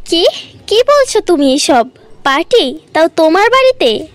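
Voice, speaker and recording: A woman voicing a jealous, nosy young girl in a cartoon, loud and prying. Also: extremely high pitch, very animated, a little slow, dry recording, full character voice. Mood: surprised prying.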